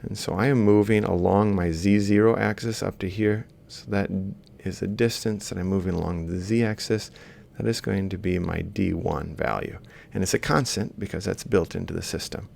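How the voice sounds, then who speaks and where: A man's voice: low-pitched, steady tone, moderate pace, vocal fry, few pauses, darkish tone, a little hiss or hum. A man lecturing informally, thinking aloud as he explains step by step while drawing. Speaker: robotics lecturer.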